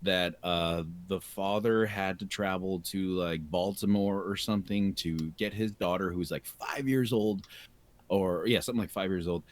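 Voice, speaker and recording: A man, co-hosting a casual podcast, telling a rambling story full of "like" fillers and quick laughs. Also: deep voice. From United States. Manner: animated, rambling, laughs often, many "like" fillers. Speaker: podcast co-host.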